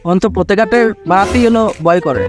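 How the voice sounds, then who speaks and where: A man's voice: high voice, fast talker, music in the background. A man playing a broke, uneasy village friend making excuses in a comic skit, with a rural Bangladeshi accent and a voice-changer effect. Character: broke, nervous village friend dodging repayment. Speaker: comedy skit performer. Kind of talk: comic dialect skit dialogue.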